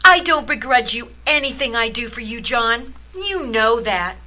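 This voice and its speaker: A woman's voice: on the high side, animated, measured pace, sharp tone. A woman acting in radio theater as an anxious, long-suffering wife, plaintive and dramatic. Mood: weary, hurt.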